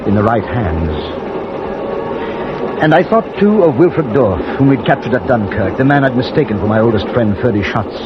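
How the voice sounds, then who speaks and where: Male actor, army colonel, brooding, measured, medium pitch, vintage radio drama, loud background noise. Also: lightly acted. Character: brooding British army colonel narrating his wartime story. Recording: muffled old radio recording, loud noise.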